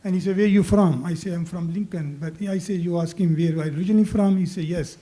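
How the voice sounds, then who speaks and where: Very mellow, higher-voiced Pakistani-accented man. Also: quick pace. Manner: plain-spoken, non-native phrasing. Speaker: city council candidate. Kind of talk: informal forum speech with anecdotes.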